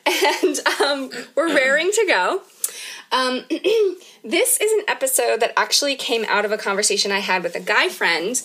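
A woman's voice: mid-range voice, a bit bright. A woman in her thirties hosting a podcast, talking casually and candidly in an informal, conversational way. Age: 30s. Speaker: podcast host.

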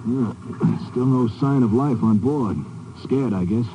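A man's voice: medium pitch, unhurried, close to an everyday voice. A man playing a matter-of-fact pirate submarine gunner in 1950s radio drama style, on a noisy old recording.

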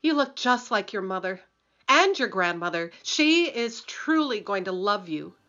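A kindly motherly townswoman in a radio drama. Her voice is bright and forward, though the recording is a little dull. She fusses and repeats herself, tender and flustered. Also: mid-range voice, big pitch swings, unhurried. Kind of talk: radio play acting.

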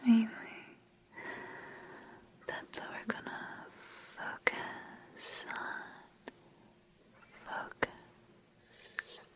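A woman whispers a sleep hypnosis right up close to the microphone. Her delivery is extremely slow and level, with soothing repetition and long pauses. The soft, breathy tone stays mellow throughout. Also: fairly high pitch. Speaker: ASMR host guiding sleep hypnosis. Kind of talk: ASMR guided relaxation.

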